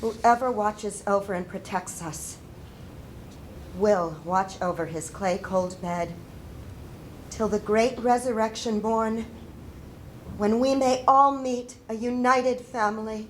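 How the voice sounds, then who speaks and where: An older woman playing a grieving, devout Civil War mother in a solemn, deliberate dramatic letter reading. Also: fairly high pitch, a little hiss or hum, poor audio. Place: indoor stage before a projection screen.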